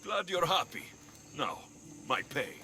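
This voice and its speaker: A man's voice: fairly high pitch, big pitch swings, measured pace, bright, forceful tone, a little hiss or hum, exaggerated voice. An actor playing a cocky, sardonic male mercenary in a video game cutscene. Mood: wry. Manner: dry, sardonic, bargaining. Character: cocky, wry male mercenary in ancient Greece.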